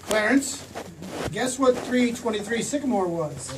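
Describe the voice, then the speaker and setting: An actor narrating a small-town tale as a storyteller in a 1940s-style radio drama. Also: male voice, high-pitched, big pitch swings, a little slow. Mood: wistful storytelling. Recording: distant stage mic, clear background noise.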